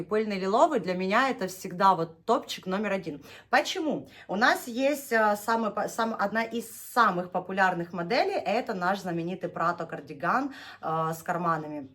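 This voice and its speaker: A woman's voice: mid-range voice, fairly bright tone. A young woman hosting a knitting vlog, chatting casually and gushing over yarn, laughing often and drawing out her words when she names colors. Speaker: knitting vlogger showing yarn. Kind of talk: casual unboxing chat.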